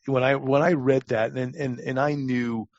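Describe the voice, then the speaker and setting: An older man with an American accent giving reflective interview answers as a guest, halting and repeating words as his thoughts form. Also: mid-range voice, steady tone, no echo, dull audio. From Long Island, United States. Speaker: podcast guest, talent acquisition advisor.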